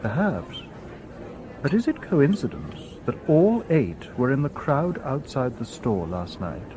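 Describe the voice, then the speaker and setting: An actor playing a polite, logical humanlike robot detective in a radio drama, with formal, precise speech. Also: male voice, mid-range voice, big pitch swings, a little slow, crowd noise. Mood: calm, watchful. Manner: formal, precise, unemotional wording.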